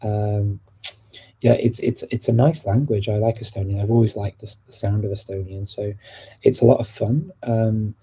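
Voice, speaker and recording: A man's voice: on the low side, moderate pace, slightly rough, rough recording. A man hosting a language-learning livestream, chatting casually as he answers viewers' questions. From United Kingdom. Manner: relaxed and chatty, answering questions as they come in.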